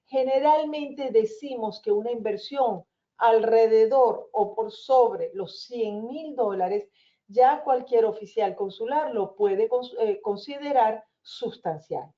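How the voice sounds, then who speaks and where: A woman with a Venezuelan accent, an immigration lawyer explaining visa options in a webinar in a calm, reassuring manner. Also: fairly high pitch, slow pace, lo-fi sound. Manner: reassuring, explanatory, addresses listeners as señores.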